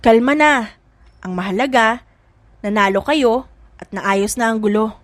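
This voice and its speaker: Woman voicing an expressive, high-voiced caring young woman. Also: unhurried, no room sound, close to an everyday voice. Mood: chiding, caring. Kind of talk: audiobook drama.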